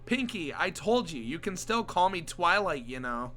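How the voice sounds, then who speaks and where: Guy w a cold reading a nerdy caring young pony girl, high voice, bit hoarse. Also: big pitch swings, normal speed, pushed and bright, slight background noise, full character voice. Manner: reads the comic's lines aloud, plainly.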